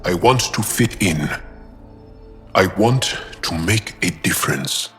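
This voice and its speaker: Gentle alien warrior, low voice, slow halting delivery, expressive, earnest, game dialogue with music. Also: male voice, exaggerated voice. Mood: vulnerable, confessing. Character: earnest, self-doubting young male alien warrior, gentle. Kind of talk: video game character acting.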